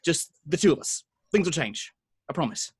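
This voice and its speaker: A man's voice: a little high, very animated, moderate pace, husky, no echo, exaggerated voice. A man playing a defensive, placating slacker boyfriend, hesitant in manner, in an informal table read of a film script.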